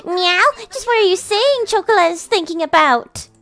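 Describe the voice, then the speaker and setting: A woman voicing a bubbly, childlike and clingy young cat girl, excitable and cutesy. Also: very high-pitched, normal speed, faint music behind, voice pushed up. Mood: flustered.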